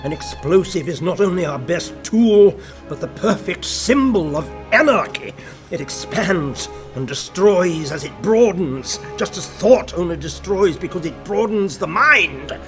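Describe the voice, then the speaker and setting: An actor playing a fervent, grandiose anarchist intellectual in a radio play, declaiming with pedantic intensity. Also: male voice, high-pitched, lively intonation, a little slow, faint music behind. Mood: stern, insistent.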